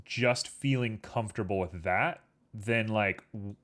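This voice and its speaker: A man's voice: lowish voice, measured pace, fairly bright tone. Easygoing, rambling man with a sniffle. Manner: easygoing, rambling, filler-heavy. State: a little sniffly. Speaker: livestreamer. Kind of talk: casual chat while working on a 3D model.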